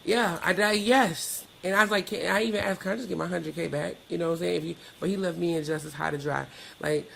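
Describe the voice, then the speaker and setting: A woman's voice: on the low side, fairly even intonation, quick pace, gravelly, hardly any pauses, a bit bright, a little hiss or hum. A woman guest on a podcast, frankly telling personal stories in colloquial, matter-of-fact language. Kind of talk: candid personal storytelling.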